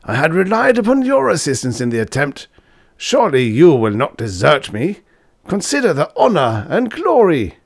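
A man reading a story aloud, voicing an old male professor with a high, excitable delivery. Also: normal speed, vocal fry, no echo, clean studio sound, exaggerated voice. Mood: pleading. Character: old male German professor, eager and fussy.

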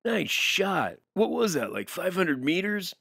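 A man's voice: high voice, big pitch swings, measured pace, gravelly, fairly bright tone, breathy edge, no echo, studio quality, pitched above the performer's own voice, heavily acted. A man voicing an eager, easily confused male sidekick, chatty and admiring, in a motion comic. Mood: impressed, puzzled. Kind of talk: motion comic character acting.

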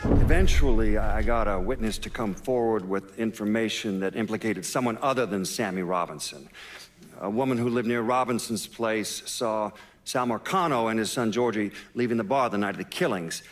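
An actor playing a methodical investigator giving dry documentary-style testimony in a video game. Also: male voice, on the low side, normal speed, lightly acted. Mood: matter-of-fact.

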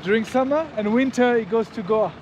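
A man's voice: extremely high pitch, fairly quick, background noise. Chatty man vlogging with a raised voice. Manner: friendly, chatty, many fillers and "guys" to camera. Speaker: motovlogger host. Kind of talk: casual travel vlog chat. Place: outdoors in a mountain village and a small roadside restaurant.

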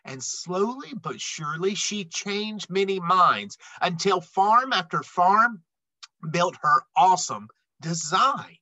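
Animated man reading a children's picture book. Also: high-pitched, takes it slowly, no room sound, poor audio. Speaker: read-aloud storyteller. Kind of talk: expressive children's picture book read-aloud.

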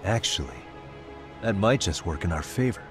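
A man's voice: deep voice, sing-song, normal speed, music in the background. An actor playing a cool, decisive detective in his thirties, terse and matter-of-fact, in a video game drama. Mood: businesslike.

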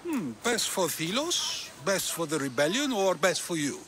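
Lofty high-voiced Greek philosopher. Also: male voice, very animated, moderate pace, fairly bright tone, slight background noise. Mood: condescending. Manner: pompous, musing. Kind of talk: video game cutscene acting.

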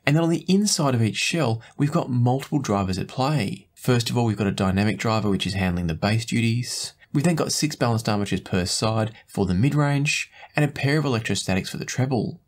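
A man in his forties presenting a product review to camera in a measured, explanatory way. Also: mid-range voice, expressive. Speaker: host, audio gear reviewer. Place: small indoor home studio room.

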